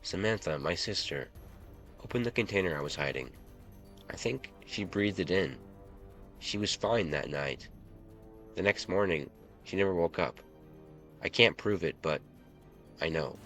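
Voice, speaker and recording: Man reading a haunted, gravelly, deep-voiced male confessor. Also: animated, measured pace, pauses often, fairly bright tone, faint music behind, exaggerated voice. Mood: grieving. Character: haunted, guilt-ridden man confessing in his journal. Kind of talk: journal read aloud in a story.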